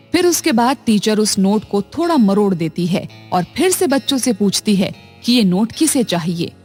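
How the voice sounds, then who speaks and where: A woman narrating short moral stories for children in a calm, even, scripted storytelling manner. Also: medium pitch.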